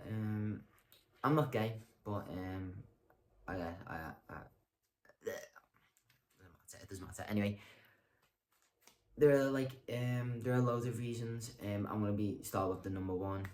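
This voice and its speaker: A young man with a low voice talks straight to the camera in a loose, rambling monologue. He stumbles through ums and restarts, keeps correcting himself, and delivers his jokes deadpan. Faint noise sits behind him. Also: measured pace. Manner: rambling, hesitant, full of ums and self-corrections; deadpan self-mocking humor. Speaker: vlogger. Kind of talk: casual talking-to-camera monologue.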